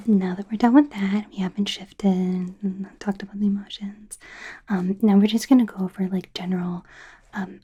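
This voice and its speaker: A woman playing a friendly, awkward young werewolf doctor in an ASMR roleplay, speaking in a soft, breathy whisper with hesitant, casual rambling. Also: mid-range voice, muffled recording.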